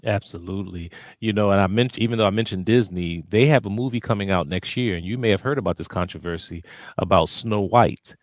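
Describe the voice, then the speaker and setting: A man's voice: on the low side, brisk, no room sound. A man hosting a radio interview, asking questions hesitantly with many fillers and repeated words. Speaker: radio show host, interviewer.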